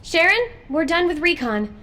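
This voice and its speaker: An actress playing a young, naive and cheeky female soldier in video game dialogue, talking in an expressive, informal, girlish way. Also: very high voice, moderate pace, soft background music, heavily acted. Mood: eager.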